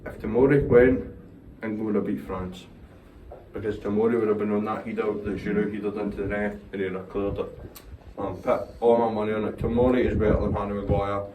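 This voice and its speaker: A man's voice: lowish voice, little pitch movement, brisk, recorded from a distance, phone-quality sound, a little hiss or hum. A young Scottish man chatting casually about football, opinionated and rambling, swearing now and then. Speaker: co-host. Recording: roomy echo, slightly off-mic, faint ambience.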